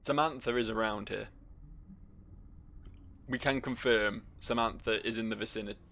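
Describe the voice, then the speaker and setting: A man's voice: lowish voice, steady tone, normal speed, vocal fry, fairly bright tone. A man playing a video game with casual, jokey, sweary commentary, reading in-game entries aloud theatrically. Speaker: video game let's-player.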